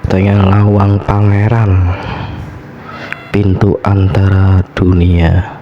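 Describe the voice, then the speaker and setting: A man with a Javanese accent hosting and telling horror stories radio-style, his voice slightly hoarse from a cold. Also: deep voice, monotone, takes it slowly, highs cut off, soft background music. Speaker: host of a horror story show.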